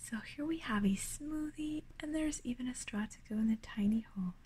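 A woman hosting an ASMR unboxing, whispering breathily and gently, sounding delighted, close to the mic at a table indoors. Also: sits high, a little fast, a little hiss or hum.

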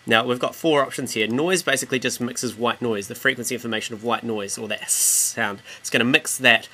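Male music software tutor, bright forward voice, informal, explanatory, rephrases as he goes. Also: mid-range voice, brisk, slight background noise. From New Zealand. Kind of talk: casual screen-recorded software tutorial.

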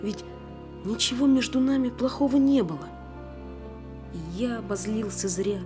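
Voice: medium pitch, normal speed, music in the background, natural voice. A performer playing an earnest, brooding young boy in a Soviet radio play. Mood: troubled, questioning. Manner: brooding, questioning. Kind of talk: Soviet radio drama acting.

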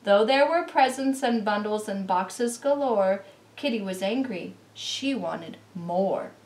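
A middle-aged woman giving an expressive, deliberate picture-book read-aloud as a children's presenter. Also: medium pitch, slight background noise. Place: indoor living room beside a Christmas tree. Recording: roomy, distant camera microphone.

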